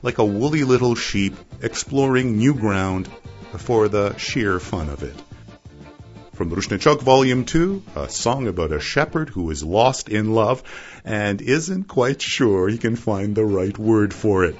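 A man's voice: on the low side, animated, soft background music. A man from Canada giving a wry, playful scripted radio narration. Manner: wry, playful, slow and deliberate. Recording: dull lo-fi radio broadcast.

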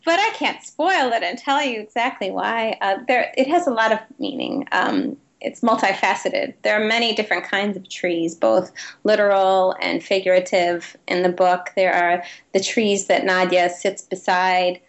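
A woman's voice: medium pitch, normal speed. Thoughtful woman author, slightly dull audio. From United States. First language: Ukrainian. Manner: thoughtful, with frequent restarts and fillers. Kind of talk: interview guest.

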